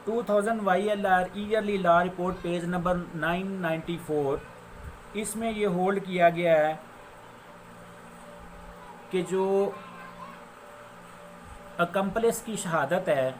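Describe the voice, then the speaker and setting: A man with a Pakistani accent giving an explanatory law lecture, deliberate and given to restating his phrases. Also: high voice, low-quality recording. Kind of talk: explanatory law lecture mixing Urdu with English legal terms. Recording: faint background noise.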